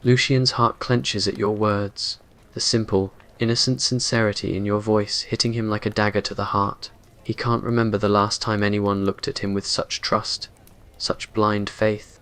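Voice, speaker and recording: A man's voice: on the low side, fairly bright tone. A man reading scripted romantic roleplay narration in an ASMR story style.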